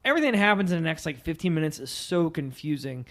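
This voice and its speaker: A man's voice: on the high side, sing-song, quick pace, a bit bright. A man co-hosting a film podcast, chatting casually and informally outdoors. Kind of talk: casual chat, film discussion.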